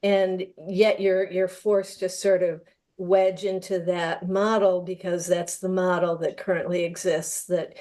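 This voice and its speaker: A woman in a panel discussion, speaking in long, measured sentences and hesitating as she searches for words. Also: on the low side, little pitch movement, dark tone. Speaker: theatre panel participant.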